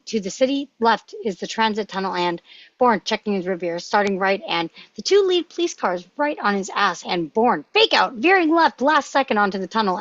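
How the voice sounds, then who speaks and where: A woman's voice: fairly high pitch, fairly bright tone, dry recording. A woman reading screenplay action aloud as narration, putting emphasis on punchy action words. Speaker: table read participant. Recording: dry, dull lo-fi sound.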